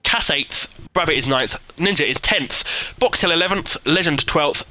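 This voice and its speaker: A man from the UK commentates a live esports race with a very bright, cutting voice sitting a little higher than most men's. He calls the action with energy, leaping up when the lead changes. Between calls he chats loosely with viewers, full of ums and asides. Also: normal speed, slight background noise, poor audio. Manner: energetic, jumps high in excitement at big moments; chatty, many fillers, reads and answers live chat. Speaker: sim racing commentator.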